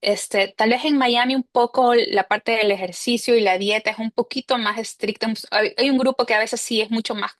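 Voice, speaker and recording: A woman from Ecuador with an Ecuadorian Spanish accent, a cardiologist answering interview questions in a measured way, often saying "este" and slipping in English medical terms. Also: on the high side, fairly even intonation, no echo. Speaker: cardiologist guest.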